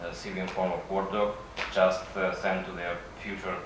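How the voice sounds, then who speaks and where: A man with a Russian accent, a native Russian speaker, giving a halting conference presentation with frequent pauses. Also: low voice, little pitch movement. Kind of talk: conference presentation, semi-improvised. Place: conference session room. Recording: echoey room from far off, faint noise.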